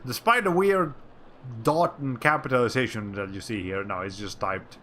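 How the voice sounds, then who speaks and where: A man's voice: mid-range voice, moderate pace, bright, forceful tone, slight background noise. A man from Latin America streaming a game, chatting casually in a rambling, self-correcting way with wry asides. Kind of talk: casual chat while playing a game.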